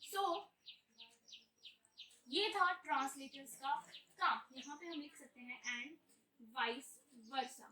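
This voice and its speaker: Young Indian woman, lesson host, Indian accent, high voice, slow English definitions. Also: sharp tone. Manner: mixes English terms into Hindi, slow deliberate English definitions. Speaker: computer science teacher. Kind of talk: explanatory lesson.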